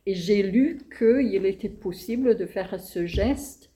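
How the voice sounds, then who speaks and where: A woman playwright with a non-native French accent, answering interview questions in a hesitant, thoughtful way with many pauses. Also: mid-range voice, dark tone.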